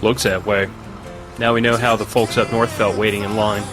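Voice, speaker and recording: An actor playing a firm mercenary leader who runs a supply caravan in an audio drama. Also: male voice, lowish voice, little pitch movement, moderate pace, over music, lightly acted, rough recording. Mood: wry, wary.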